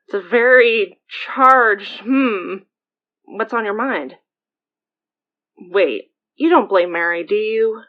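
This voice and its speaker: Actress as a teasing, supportive friend, mid-pitched. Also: female voice, expressive, slow, narrow, tinny audio. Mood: probing, teasing. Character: teasing, supportive female friend, casual and nosy. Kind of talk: naturalistic audio drama acting.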